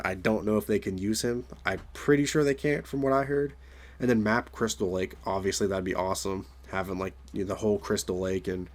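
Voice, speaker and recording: A young man with a raspy voice from a recent illness, talking casually to his viewers in a rambling way with many fillers. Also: lowish voice. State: raspy voice from getting over illness. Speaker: gaming video creator. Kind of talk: casual solo commentary.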